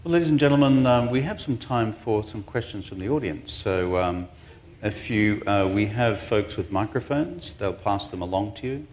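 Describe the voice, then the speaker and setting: A man's voice: fairly low pitch, moderate pace, a little mellow, slight background noise. A man moderating a panel discussion, framing questions and managing the audience with wry, dry humor.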